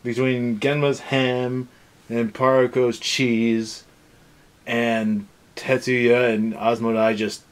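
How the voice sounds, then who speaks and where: A man's voice: mid-range voice, flat delivery, slow. A young man co-hosting a casual review show, ranting in a sarcastic, exasperated way. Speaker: anime review show co-host.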